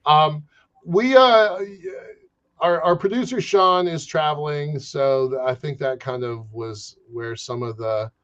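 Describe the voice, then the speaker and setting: A man's voice: a little high, a bit bright. Guy hosting a podcast, stumbly casual talk, muffled lo-fi sound. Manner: halting, repeats words. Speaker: podcast host. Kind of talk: casual interview chat.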